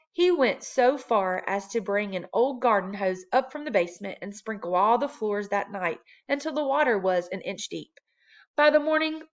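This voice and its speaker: Lady reading a kids chapter book out loud, normal pitch, kinda muffled recording. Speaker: storybook reader. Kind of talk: children's read-aloud narration.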